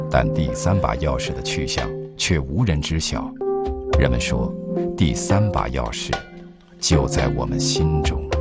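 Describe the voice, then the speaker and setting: A man narrating a documentary in a calm, measured scripted voice-over. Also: very deep voice. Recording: voice-over under loud music.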